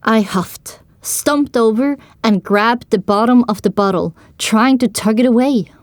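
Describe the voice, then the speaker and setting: A woman's voice: a little high, a little slow, no echo. A woman narrating a scripted story in the first person as part of an audio drama.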